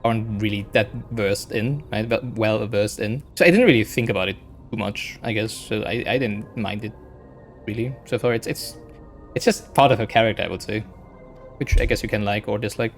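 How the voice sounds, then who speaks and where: A man's voice: lowish voice, moderate pace, faint music behind. Chatty streamer man, English not his first language. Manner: rambling, chatty, keeps adding "right?". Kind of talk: casual let's-play commentary.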